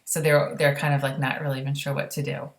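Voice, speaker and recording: A woman with a fairly low voice reads a storybook in a roomy, echoey space. She drops into informal, conversational explanations for young listeners. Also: flat delivery, fast. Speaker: reader of a children's book. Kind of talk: storybook read-aloud with casual explanatory asides.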